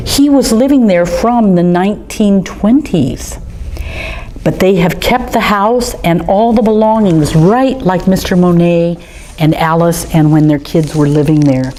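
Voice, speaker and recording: Female storytime host, middle-aged, low-ish voice, unhurried and gentle, eager with the kids, faint hiss behind. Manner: gentle and enthusiastic, showing pictures to children. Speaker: storytime reader at an art museum. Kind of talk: storytime talk for children.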